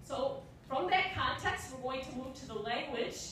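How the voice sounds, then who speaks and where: A woman with a high voice lectures a workshop class and leans on worked examples to make each point. She is picked up from far off in a very echoey room. A faint hiss sits under her voice. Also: lively intonation, moderate pace, fairly bright tone. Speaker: trainer. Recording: echoey room, distant mic, faint noise.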